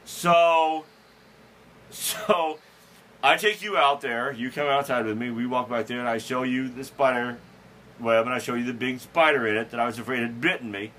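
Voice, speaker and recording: A man hosts a casual podcast and tells a long, self-deprecating story about himself. His voice is bright and forward at an average pitch. He rambles, restarts his sentences and chuckles as he goes. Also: normal speed, slight background noise. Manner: frequent restarts and fillers. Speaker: podcast host.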